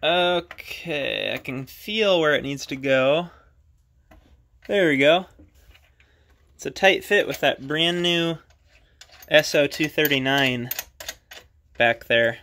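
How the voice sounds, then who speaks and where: Man, bright tone, casual gear demo, thinks aloud, frequent uh pauses, hobbyist at his desk. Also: fairly high pitch. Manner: relaxed, thinks aloud with frequent "uh" while handling equipment. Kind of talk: casual hands-on demonstration. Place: at his radio desk indoors.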